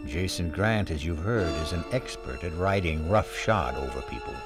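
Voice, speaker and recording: A man hosting and narrating a radio mystery drama in a grave, measured storyteller's voice. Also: low voice. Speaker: show host and narrator. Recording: narration over music.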